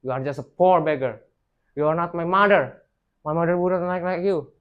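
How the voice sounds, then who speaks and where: A man voicing a proud, cruel young merchant in a folktale, shouting with harsh scorn. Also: a little high, normal speed, smooth, muffled recording, pitched above the performer's own voice, lightly acted. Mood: angry, scornful. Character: proud, cruel young merchant son disowning his mother.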